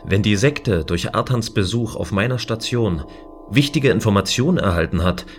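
A man as a low-voiced, earnest space guardian. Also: lively intonation, moderate pace, faint music behind. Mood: apologetic, earnest. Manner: considerate, earnest, explaining. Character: gentle, dutiful male space station guardian. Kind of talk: science fiction audio drama acting.

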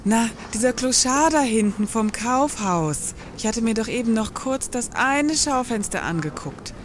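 A woman's voice: a little high, animated, unhurried. A woman playing a bored, spoiled bourgeois wife in a satirical radio play, with affected, dryly ironic, wordy delivery. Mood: indignant. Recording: noisy background ambience.

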